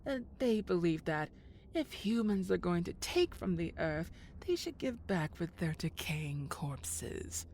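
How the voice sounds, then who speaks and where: A woman's voice: fairly low pitch, animated, slow pace, a little mellow, slight background noise. An actress playing a sly villainous woman in an audio drama, condescending with feigned sweetness. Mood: insinuating. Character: sly, scheming villainous old flame, woman. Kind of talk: scripted audio drama acting.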